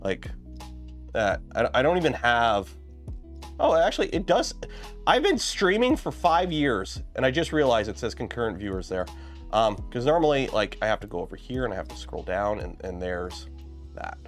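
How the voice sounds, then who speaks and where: A man's voice: mid-range voice, sing-song, moderate pace, soft background music. A man hosting a casual livestream, chatting in a rambling way with many fillers and restarts while losing his voice. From Canada. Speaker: livestream host building a 3D printer kit.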